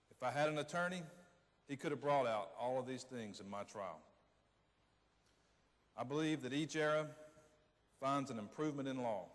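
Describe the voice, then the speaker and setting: A mid-pitched male voice rings through a reverberant hall. An actor plays an earnest older prisoner and father, telling of his unfair trial in a deliberate readers theater monologue. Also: lightly acted. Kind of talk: readers theater monologue, reading a letter aloud.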